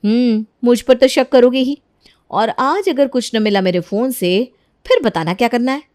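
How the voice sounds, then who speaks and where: A woman's voice: a little high, normal speed, darkish tone, close to an everyday voice. A woman voicing an insolent, scheming young daughter-in-law in a moral story, sarcastic and defiant in manner. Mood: indignant, accusing.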